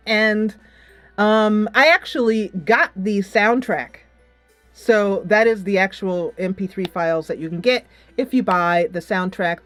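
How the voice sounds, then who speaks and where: A woman's voice: mid-range voice, a bit bright. Female reviewer, mid-range voice, conversational and enthusiastic with rambling asides, soundtrack music underneath. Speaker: video game reviewer, channel host. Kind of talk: casual chatty game review. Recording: music playing behind the voice. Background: game soundtrack music playing in background.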